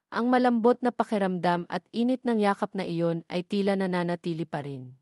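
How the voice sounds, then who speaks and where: A woman's voice: mid-range voice, a little mellow, no echo, clean studio sound. A woman reading a scripted romance story as a dramatic narrator.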